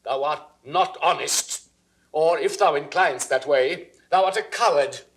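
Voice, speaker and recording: Jealous suspicious king, British accent, forceful ringing tone, slightly high voice, theatrical Shakespearean audio drama. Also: measured pace. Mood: cold accusation. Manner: theatrical, crisply declaimed, dropping into whispers. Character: jealous, suspicious king brooding over his wife's imagined betrayal. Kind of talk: classical Shakespearean stage acting, 1960s audio recording.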